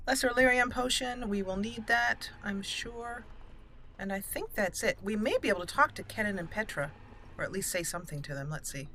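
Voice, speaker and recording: Bright-voiced woman roleplaying through a fantasy game. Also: medium pitch, expressive, normal speed, slight background noise, poor audio. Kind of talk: let's play commentary with in-character dialogue.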